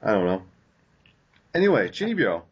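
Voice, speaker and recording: Young male podcast host, low voice, hesitant and filler-heavy, muffled home recording. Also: very animated, breathy edge. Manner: halting, many fillers and restarts. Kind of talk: casual podcast discussion. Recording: lo-fi dull audio.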